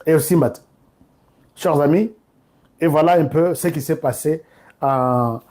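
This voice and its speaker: Mellow-voiced Congolese man, emphatic commentary. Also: fairly high pitch, a little slow. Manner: emphatic, direct address, switching between two languages. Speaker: online political commentator. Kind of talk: informal political commentary to camera.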